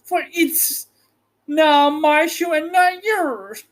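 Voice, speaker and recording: A man voicing a wicked, cunning old witch from a storybook, with a shrill, wailing delivery. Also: very slow, exaggerated voice. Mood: spiteful, defiant.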